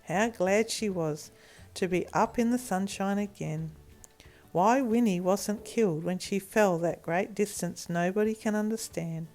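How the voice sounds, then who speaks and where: A woman narrating a children's story in an expressive storytelling style. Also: medium pitch, a little slow, soft background music.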